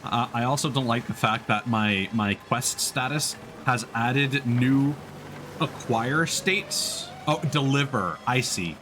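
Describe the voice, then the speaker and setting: A man's voice: mid-range voice, slow. A man giving casual live commentary on a game, thinking aloud as he plays. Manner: thinks aloud while playing. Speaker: game streamer. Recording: faint game noise behind voice. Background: game ambience.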